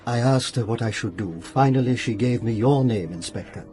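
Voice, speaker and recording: An actor playing a courteous, troubled older shop owner in a radio drama, formal and pleading. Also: male voice, medium pitch, normal speed, warm tone, a little hiss or hum, close to an everyday voice. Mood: sorrowful.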